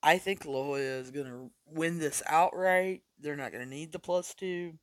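A man's voice: on the high side, a bit bright, no echo, clean studio sound. A man hosting a sports betting podcast in a casual, chatty way while recovering from losing his voice. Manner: casual, chatty, deliberate picks. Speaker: podcast host.

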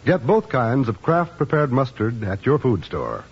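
A man's voice: medium pitch, sing-song, measured pace, loud music behind the voice. A man reading a scripted 1950s radio commercial as an announcer. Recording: dull 1950s radio recording.

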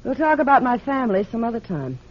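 A woman's voice: a little high, big pitch swings, quick pace. A woman playing a worldly, coy, flirtatious married society woman in a 1940s radio detective drama. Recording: muffled, noisy old radio recording.

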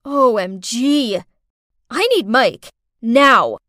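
An exasperated young woman acted out by a female narrator in a melodramatic story. Her high voice leaps around with indignation, recorded close and dry. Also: expressive, drawn-out words, a bit bright, no room sound, heavily acted.

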